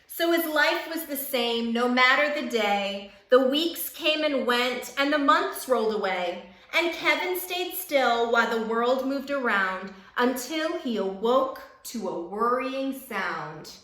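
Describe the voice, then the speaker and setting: Bright-voiced woman reading to children, roomy sound. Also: on the high side, animated, slow, few pauses. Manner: encouraging, addressing children directly. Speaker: teacher reading a picture book aloud. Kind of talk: expressive children's storybook read-aloud.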